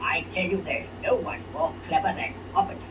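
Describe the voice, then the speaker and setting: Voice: medium pitch, expressive, a little fast, close to an everyday voice. An actor playing an impatient old man peddling a toy in a 1960s children's puppet show. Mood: sly, impatient. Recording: distant, noisy 1960s television clip.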